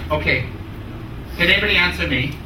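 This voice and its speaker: Male dharma teacher, American accent, emphatic phrasing, echoey room with clear background noise. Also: sits high, expressive, recorded from a distance. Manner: emphatic, deliberate, short repeated phrases. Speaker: Buddhist teacher. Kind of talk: spoken teaching with questions to students.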